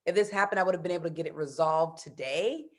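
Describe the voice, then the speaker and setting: A woman from the United States in her thirties talks with a slightly low voice. She speaks in a loose, animated way, full of fillers and quick laughs. Her tone stays easygoing and conversational. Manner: chatty and animated, laughs often, many fillers. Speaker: interview guest, expat in Brazil. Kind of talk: casual interview chat.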